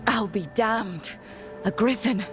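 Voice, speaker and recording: A woman's voice: medium pitch, slow pace. A woman playing a determined, plain-spoken adventurer leading a party in a video game cutscene. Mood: measured. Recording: game music under the voice.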